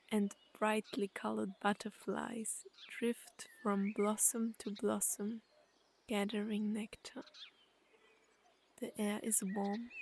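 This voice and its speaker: Woman doing guided meditation, really slow and soothing, bit of fry in the voice, lots of pauses. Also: mid-range voice, a little mellow, a little hiss or hum. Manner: unhurried, soothing, with long pauses.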